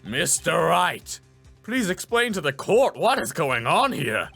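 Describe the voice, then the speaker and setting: A man's voice: on the high side, sing-song, takes it slowly, creaky, a bit bright, faint music behind, exaggerated voice. A man voicing an elderly courtroom judge in a video game, stern, formal and pompous. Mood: solemn, demanding.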